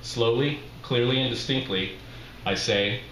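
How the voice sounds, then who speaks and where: A middle-aged man gives a live reading of his own memoir in a wry, deliberate way. His mid-pitched voice rings in a roomy bar, with faint noise behind it. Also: a bit bright. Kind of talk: live literary reading of a humorous personal story. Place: small bar with brick walls, live reading.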